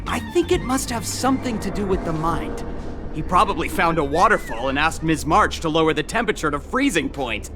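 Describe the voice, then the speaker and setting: An earnest, devoted young guard acted by a man in a video game. His delivery is lively and awestruck, rising and falling widely over background music. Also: a little high, fairly quick, lightly acted. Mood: speculating.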